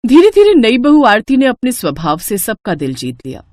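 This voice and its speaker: Lady storyteller, scripted narration, very lively intonation, dry close recording. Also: on the high side, moderate pace, dry recording.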